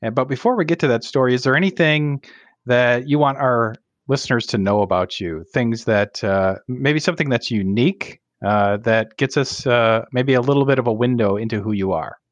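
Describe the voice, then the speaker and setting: A man's voice: medium pitch, normal speed, no room sound. A man hosting an interview podcast, welcoming listeners and asking a guest long questions. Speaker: podcast host.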